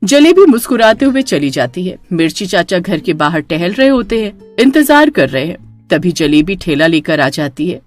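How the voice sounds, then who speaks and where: A woman's voice: lowish voice, big pitch swings, normal speed, soft background music. A woman narrator reading a scripted horror story.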